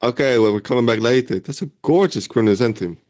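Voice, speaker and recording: A man playing an excitable teenage wizard schoolboy in an amateur audio drama, with dramatic exclamations. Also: medium pitch, fast, natural voice. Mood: excited, playful. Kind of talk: hobby audio drama acting.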